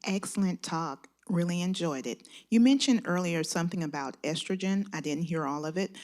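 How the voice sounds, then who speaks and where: A woman's voice: fairly low pitch, normal speed, a little mellow. A woman in the audience asking a polite, curious question, heard from a distance. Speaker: audience member.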